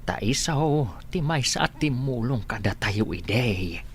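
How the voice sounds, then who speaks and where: A man's voice: mid-range voice, animated, slow pace, slight background noise. An actor playing a humble, grateful village man with an Ilocano accent, hesitant and deferential, in a radio comedy drama. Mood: flustered, earnest.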